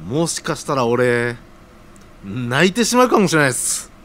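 A man's voice: medium pitch, big pitch swings, moderate pace, a bit bright, slight background noise. A man playing an eager, emotional young junior fellow in a scripted radio drama.

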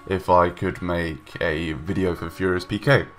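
Young male gaming vlogger, very low voice, stuffed-up from a cold, hesitant rambling, faint music behind. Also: fairly even intonation, unhurried. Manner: rambling, hesitant, many ums and restarts. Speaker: gaming YouTuber. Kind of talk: casual voice-over commentary. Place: home room, recorded separately from gameplay.

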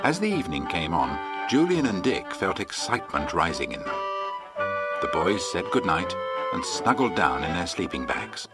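Deep British male storyteller over music. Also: very animated, normal speed. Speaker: narrator. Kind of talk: scripted storybook narration. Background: loud music.

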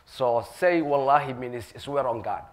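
A man's voice: medium pitch, a little slow. Middle-aged man, earnest panel storyteller, lapel mic on stage. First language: Somali. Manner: earnest storyteller, repeats words as he builds phrases. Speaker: panelist, community worker and radio host. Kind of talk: panel talk, personal anecdotes. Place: auditorium stage.

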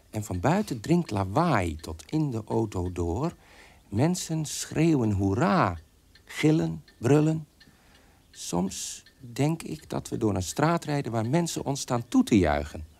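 An actor playing a haunted man in his thirties who recounts a nightmare in a radio drama monologue, with a halting, dramatic delivery. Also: on the low side, vocal fry, darkish tone, husky, exaggerated voice. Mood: agitated. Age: 30s.

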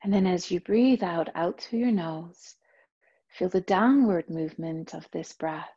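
A woman yoga teacher leading a guided meditation, speaking in a slow, soft, soothing way. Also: lowish voice, breathy edge, dull audio.

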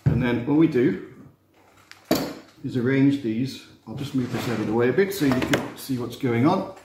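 A man, teaching a relaxed cooking demonstration in a chatty, self-mocking way with playful asides. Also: medium pitch, normal speed. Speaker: cooking instructor. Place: glass-walled kitchen room facing a garden. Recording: roomy echo, faint background noise.

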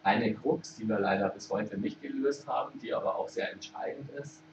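A man from Germany giving an informal conference talk, thinking aloud and pausing often with hesitations. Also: mid-range voice, noisy background. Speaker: conference speaker. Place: lecture room at a conference. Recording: echoey hall, distant mic, muffled.

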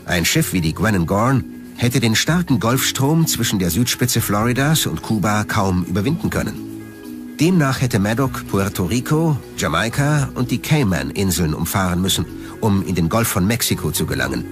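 A man's voice: lowish voice, animated, normal speed, music in the background. A man narrating a documentary from a script.

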